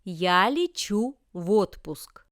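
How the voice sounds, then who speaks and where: Slow, clear-voiced woman reading lesson sentences. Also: mid-range voice, animated, dry recording, studio quality. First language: Russian. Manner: careful, deliberate enunciation. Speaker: language lesson reader. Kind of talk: scripted example sentences read for learners.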